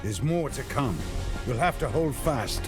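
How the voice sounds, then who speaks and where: An actor playing a stern, resolute warrior hero in a video game cutscene, terse and grave. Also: male voice, mid-range voice, lively intonation, unhurried, over music, lightly acted. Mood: grave concern.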